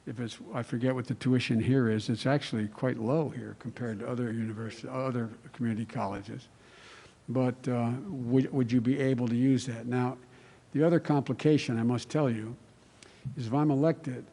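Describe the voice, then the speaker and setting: A man fields questions as a candidate, talking off the cuff and circling back to restart his sentences. His voice is mellow and sits in the middle range. The sound carries a long reverb from a large hall. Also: mid-range voice. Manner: rambling, restarts mid-sentence. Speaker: candidate at a town-hall roundtable. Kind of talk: off-the-cuff campaign answers.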